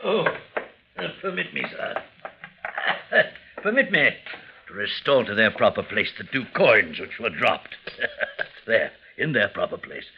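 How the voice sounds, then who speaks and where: An actor playing a fawning, effusive old soldier at a gambling table in a 1940s radio drama, oily and flattering. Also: male voice, on the low side, unhurried, long pauses, noisy background. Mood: oily flattery. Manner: oily, flattering, theatrical, chuckling. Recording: muffled vintage 1940s radio recording.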